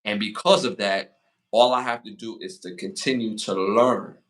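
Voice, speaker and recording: A man in his forties hosting a casual podcast, giving motivational advice and shout-outs, repeating phrases for emphasis. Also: on the low side, fairly even intonation. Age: 40s. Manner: hypes the chat with rapid shout-outs. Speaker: podcast host.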